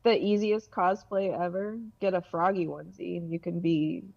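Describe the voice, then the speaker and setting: A woman's voice: fairly low pitch, steady tone, normal speed, vocal fry, a little mellow. A woman chatting casually as a guest on a podcast, through slightly lo-fi audio. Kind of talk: casual chat.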